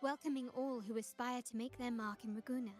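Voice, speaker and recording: A cool young investigator, played by a woman, her voice sitting a touch high and every sentence placed with formal precision. Soft game music plays under her lines. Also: fairly even intonation, natural voice. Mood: calm, analytical. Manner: measured, formal and composed. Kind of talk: video game dialogue.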